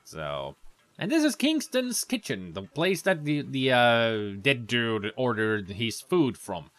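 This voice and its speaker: A man's voice: mid-range voice, very animated, takes it slowly, fairly bright tone, no echo. A man giving casual, rambling commentary over gameplay, with sarcastic asides. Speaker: let's play commentator.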